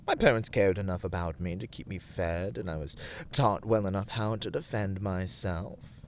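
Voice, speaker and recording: A man playing an arrogant, teasing villain warlord who craves control, in a theatrical ASMR roleplay, with breathy, mocking, drawn-out delivery. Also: deep voice, slight background noise. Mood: bored, self-assured. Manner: haughty, languid, mocking, drawn-out words.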